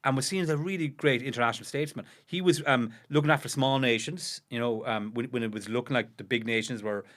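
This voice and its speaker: Irish guy on a history podcast, rattles along quick, lots of you knows and false starts. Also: medium pitch, a bit bright. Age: under 50. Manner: fast, halting, many restarts and "you know". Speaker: podcast co-host. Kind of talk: casual history discussion.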